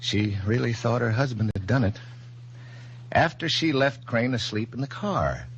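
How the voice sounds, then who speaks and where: A man playing a somber, compassionate blind police captain in measured, subdued 1940s radio drama style. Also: medium pitch, expressive, breathy edge. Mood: somber, reflective. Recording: dull, faintly humming old radio recording.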